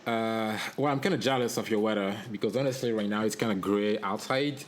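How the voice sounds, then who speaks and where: A man hosting a podcast, asking his guest questions in a relaxed way with frequent hesitant pauses. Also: lowish voice. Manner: conversational, hesitant with filler pauses. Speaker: podcast host. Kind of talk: interview questions.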